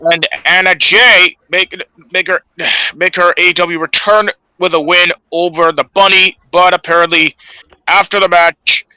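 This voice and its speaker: A man with a sharp, very bright and slightly high voice, recorded dry and close. He recaps a show as a podcast co-host, stumbling and restarting phrases as he reads through his notes. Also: smooth, dry recording, rough recording. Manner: halting, stumbling delivery with repeated words.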